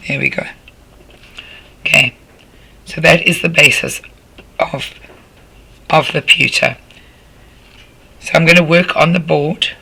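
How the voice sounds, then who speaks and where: A man with a croaky voice, patiently walking a class through a craft demonstration step by step as their art tutor. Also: fairly high pitch, pushed and bright, low-quality recording. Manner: patient, instructive, talking while working with hands.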